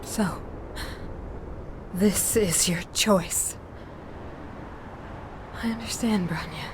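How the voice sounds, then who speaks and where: A woman's voice: lowish voice, background noise. A woman voicing a regal, obsessed ruler and mother turned villain in a video game, grandiose and theatrical. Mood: cold, regretful. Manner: slow, grandiose, theatrical, breathy pauses. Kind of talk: dramatic video game acting. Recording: loud music under the voice. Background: dramatic game music.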